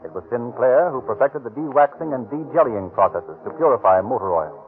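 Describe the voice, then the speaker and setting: 1930s radio announcer reading an ad. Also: male voice, lively intonation, brisk. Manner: smooth sales pitch. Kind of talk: scripted radio commercial. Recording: tinny 1930s radio recording with faint music.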